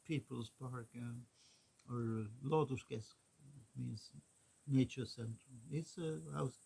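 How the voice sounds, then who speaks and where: A man talks slowly, leaving long pauses between short phrases. His voice is gravelly and husky. He rambles casually, hunting for his words as he goes. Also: mid-range voice, dark tone. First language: Swedish. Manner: halting, many pauses and fillers. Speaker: vlogger.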